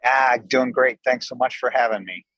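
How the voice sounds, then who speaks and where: A man's voice: mid-range voice, normal speed, a bit bright, dry recording, highs cut off. An American man in his sixties telling stories casually as an interview guest, folksy and conversational. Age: 60s. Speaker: podcast guest, restaurant co-owner.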